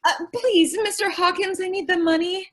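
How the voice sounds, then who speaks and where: A woman's voice: extremely high pitch, steady tone, moderate pace, fairly bright tone. A woman playing a sweet, naive, scatterbrained young shop clerk in an old-time radio comedy, earnest and guileless in her delivery. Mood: plaintive, determined. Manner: earnest, guileless, literal-minded.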